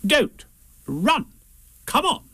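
High-pitched, bossy storybook rabbit. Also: male voice, big pitch swings, sharp tone, husky, dry recording, exaggerated voice. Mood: bossy, proud. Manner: brisk, commanding, fussy. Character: bossy, self-important male rabbit, anxiously overconfident.

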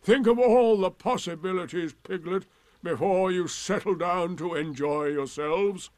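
A man voicing a gloomy, sarcastic donkey in a storybook, with drawn-out, emphatic, indignant delivery. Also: high-pitched, darkish tone, dry recording, voice pushed up. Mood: coldly sarcastic.

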